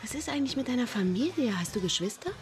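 An actress playing an anxious but cunning young runaway woman in a radio drama, speaking gently and earnestly. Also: fairly high pitch, lively intonation, fast talker, faint music behind, close to an everyday voice. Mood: caring. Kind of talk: naturalistic radio drama acting.

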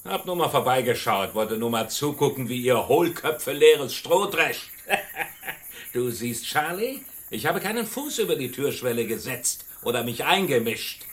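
An actor playing a contemptuous, mocking village troublemaker in a radio drama. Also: male voice, mid-range voice, big pitch swings, a little slow, a bit bright. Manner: mocking, scornful, derisive.

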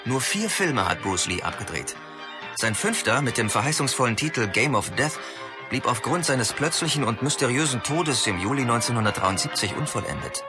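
An actor playing a knowledgeable, pedantic movie fan in scripted radio play dialogue, talking in a matter-of-fact, correcting way. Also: male voice, medium pitch, animated, normal speed, natural voice. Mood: know-it-all. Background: music.

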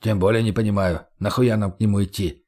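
A man's voice: lowish voice, fairly even intonation, fast, a little mellow, husky. A man playing a burly, blunt, crude sidekick in an audiobook, with a coarse, slangy, teasing manner. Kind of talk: audiobook character voice.

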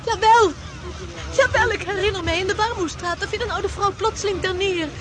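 A woman's voice: very high-pitched, brisk. An actress playing a frightened, superstitious townswoman in a period radio drama, gossiping anxiously. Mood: insistent, fearful. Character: frightened, superstitious townswoman of plague-time Amsterdam. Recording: noisy outdoor street scene with crowd.

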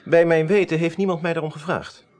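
A man's voice: a little high, steady tone, a little fast, darkish tone, natural voice. A man acting in a radio play as a pedantic, slightly reluctant forensic expert, precise and explanatory. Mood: stiff, reluctant.

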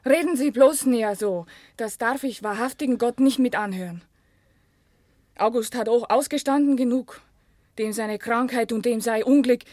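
A woman's voice: fairly high pitch, normal speed, a little mellow, no room sound, studio quality, lightly acted. An actress playing an earnest, plain-spoken young village working woman with a Silesian accent in a German radio play. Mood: earnest, resigned.

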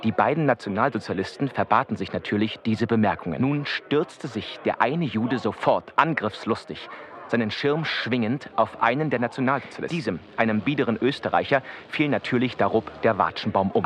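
A man's mid-pitched voice , an actor's, reads as a 1930s newspaper reporter in a radio play. The delivery is scripted, formal and precise, with a smug edge. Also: fairly bright tone, natural voice.

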